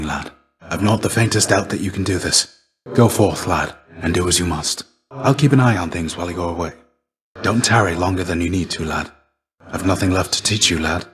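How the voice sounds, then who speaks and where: A deep, fatherly, weary warrior mentor. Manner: measured, formal, gentle archaic phrasing. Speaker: game character voice actor. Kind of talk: scripted video game dialogue.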